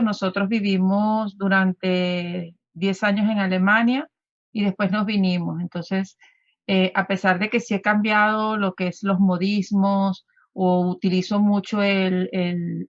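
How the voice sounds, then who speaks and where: A woman's voice: mid-range voice, flat delivery, unhurried, dry recording, highs cut off. A woman in her fifties with a Venezuelan accent, a guest talking in a conversational radio interview with many fillers and restarts.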